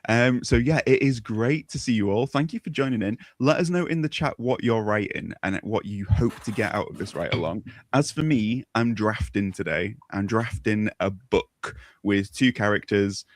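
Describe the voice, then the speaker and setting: A man's voice: on the low side, normal speed, few pauses, no echo. A man hosting a casual livestream chat in a playful, jokey, enthusiastic way. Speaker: host.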